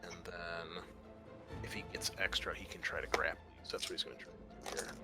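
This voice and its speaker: A man's voice: sits low, flat delivery, a little fast, sharp tone, whispered, faint music behind. A man acting as game master, narrating and refereeing a tabletop roleplaying game.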